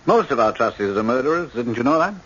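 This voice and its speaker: An American actor playing an obliging prison warden with firm authority, measured and courteous in a radio drama. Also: male voice, mid-range voice, lively intonation, a bit bright, slightly rough. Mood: candid, accommodating. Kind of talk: 1970s radio drama acting. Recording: dull, band-limited old radio broadcast.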